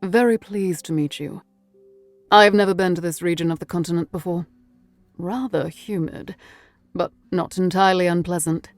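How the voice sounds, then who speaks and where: A woman plays an unruffled British gentleman of the law for an audiobook. His lowish voice is cultured and precise, courteous yet aloof. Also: steady tone, moderate pace, dry recording, soft background music, close to an everyday voice. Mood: polite, composed. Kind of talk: audiobook character voice.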